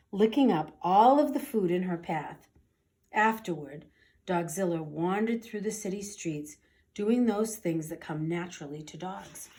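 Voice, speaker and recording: Animated woman reading a children's storybook. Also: lowish voice. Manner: dramatic, deliberate. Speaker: storybook reader. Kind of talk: expressive children's read-aloud narration.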